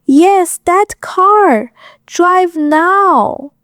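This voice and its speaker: An actress playing a frightened young Vietnamese woman in an audio drama, pleading in halting broken English with a Vietnamese accent. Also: extremely high pitch, fairly bright tone, no room sound, clean studio sound, exaggerated voice. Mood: panicked.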